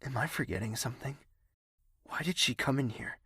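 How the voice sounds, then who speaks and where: A man playing a brooding, menacing young prince in an audio drama, speaking in a measured, gravelly, husky voice. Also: medium pitch, pushed and bright, dry recording, studio quality, full character voice. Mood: wistful, brooding. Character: brooding, menacing young prince, tender toward his mother.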